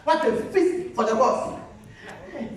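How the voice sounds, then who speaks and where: An actor playing a pompous, excitable, food-loving boss on stage, declaiming in loud exclamations. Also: sits high, measured pace, a little hiss or hum. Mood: greedy delight. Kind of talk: loud theatrical stage acting. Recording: very echoey stage hall, distant mic.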